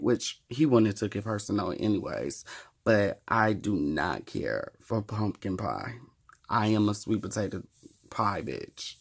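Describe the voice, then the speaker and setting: A man's voice: lowish voice, vocal fry. A man in his thirties chatting casually to the camera in a wry, profane, chatty way. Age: 30s. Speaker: vlogger.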